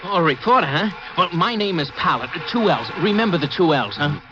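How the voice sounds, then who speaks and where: A man's voice: on the high side, expressive, brisk, lightly acted. A man playing a brash, dismissive working-class passer-by in a 1950s radio drama, with a chatty, colloquial manner. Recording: dull 1950s radio, music behind.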